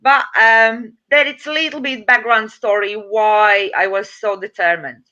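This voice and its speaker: A woman from Latvia with a Latvian accent, a guest speaking halting, non-native English with many fillers over a video call. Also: a little high, steady tone, bright, forceful tone, highs cut off. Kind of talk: online talk show interview.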